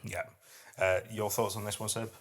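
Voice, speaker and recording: A man's voice: fairly low pitch, flat delivery, moderate pace, slight background noise. A man co-hosting a podcast, discussing poetry casually in an explanatory and playful way. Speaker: podcast co-host.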